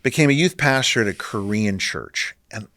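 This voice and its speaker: A man's voice: lowish voice, moderate pace, no room sound. A middle-aged man from Northern California speaking as a ministry leader in a casual, earnest interview. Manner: earnest, animated storyteller.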